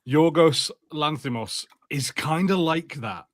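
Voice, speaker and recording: A man's voice: fairly high pitch, takes it slowly, no room sound, studio quality. A man co-hosting a podcast, chatting casually, correcting himself as he goes and swearing freely. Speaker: podcast co-host. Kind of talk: casual chat.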